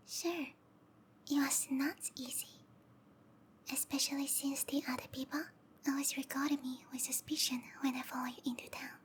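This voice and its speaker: A woman voicing a sweet, obsessive snake-woman lover in a whispered ASMR roleplay, speaking softly and tenderly with intimate, lingering phrasing. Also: high voice, a little hiss or hum, lightly acted. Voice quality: soft, breathy whisper.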